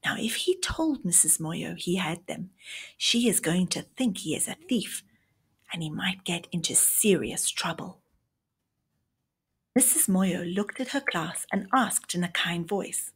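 A woman narrating a read-along storybook for young children in a deliberate storytelling manner. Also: lowish voice, expressive, a bit bright, husky.

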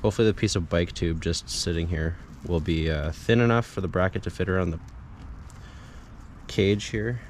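Low-voiced man in a casual how-to. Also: moderate pace. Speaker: DIY vlogger demonstrating an installation. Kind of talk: casual hands-on how-to narration. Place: outdoors in a residential yard and driveway. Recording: clear background noise, outdoor ambience.

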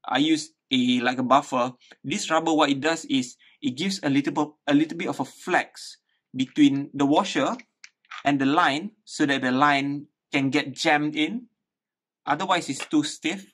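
Higher-voiced man giving a casual DIY demo. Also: normal speed, fairly bright tone. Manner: conversational, thinking aloud with repeated words. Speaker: DIY tutorial host. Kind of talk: casual hands-on how-to explanation. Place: small living room indoors.

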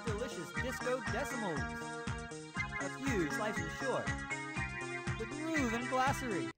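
A man playing a groovy, hammy disco-era TV cooking show host in an educational game, using showy slang and drawn-out words. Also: very high-pitched, very animated, exaggerated voice. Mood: groovy, upbeat. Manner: hammy, drawn-out showman delivery. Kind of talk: exuberant 1970s disco slang show hosting. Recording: loud music under the voice.

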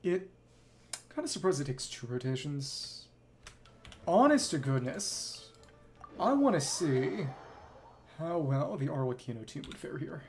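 A man's voice: fairly high pitch, very animated, a little slow, pauses often, a little mellow, a little hiss or hum. A young man streaming a video game, rambling casually and thinking aloud to himself as he plays. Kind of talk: casual gameplay commentary. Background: game music and effects.

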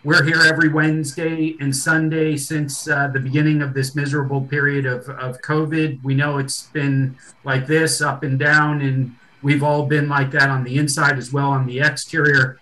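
A man's voice: medium pitch, pushed and bright, low-quality recording. A man in his fifties hosting an online panel over a video call, wrapping up with many fillers and restarts. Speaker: host. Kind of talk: closing remarks of a webinar. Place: home room with bookshelves.

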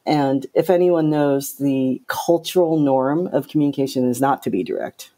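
A middle-aged woman with a low voice, speaking bluntly and directly as an interview guest. Her tone is dark and mellow. She tells her stories with animation. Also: moderate pace. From Japan. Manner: direct, outspoken, animated storytelling. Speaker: game producer, guest. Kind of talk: casual interview talk.

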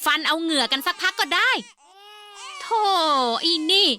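A woman voicing a hot-tempered young rich man who talks loud, high and petulant, reading a storybook. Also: expressive, measured pace, slight background noise, heavily acted. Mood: irritated. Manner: loud, high and petulant, sings a children's song.

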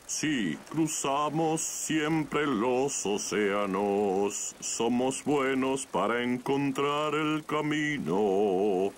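A man's voice: mid-range voice, slight background noise. A man voicing a huge, friendly, song-loving whale in a children's cartoon, jovial and given to la-la singing. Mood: jovial, proud. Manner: slow, jovial, breaks into la-la singing. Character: huge friendly male blue whale who loves singing.

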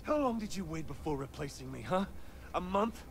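A man's voice: a little high, expressive, brisk, gravelly, slight background noise. A man playing a betrayed, vengeful young masked vigilante in a video game, furious and accusatory. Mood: furious, wounded. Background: loud dramatic game music.

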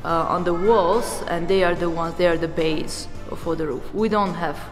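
A woman in her thirties with an Armenian accent, guiding a visitor through a monastery, informative with frequent hesitant pauses. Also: on the low side, faint music behind. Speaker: tour guide. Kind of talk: explaining history to a visitor. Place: outdoors at a monastery and inside a stone church.

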